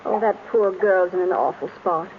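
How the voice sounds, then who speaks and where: An actress playing a detective's playful, persistent wife, teasing and nudging, in an old radio drama. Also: female voice, fairly low pitch, moderate pace, lightly acted. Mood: coaxing. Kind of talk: 1930s radio drama acting. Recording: dull, noisy old radio recording.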